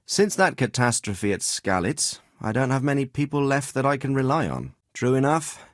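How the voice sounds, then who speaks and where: An actor playing a middle-aged noble lord and commander in a video game, with a measured, formal and paternal manner. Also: male voice, mid-range voice, sing-song, a bit bright, no room sound, clean studio sound. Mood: fatherly, approving. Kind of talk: acted video game dialogue.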